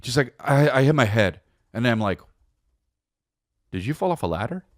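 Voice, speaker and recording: Male American podcast host, mid-pitched and highly animated, casual comedic storyteller who rambles and repeats for emphasis. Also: normal speed, no echo, studio quality. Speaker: podcast host telling personal stories.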